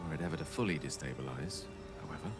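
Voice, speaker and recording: An actor playing a learned, earnest wizard in a fantasy video game, speaking in florid, measured, dramatic phrases. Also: male voice, extremely low pitch, over loud music. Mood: somber. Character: learned male wizard, eloquent, theatrical, earnestly remorseful.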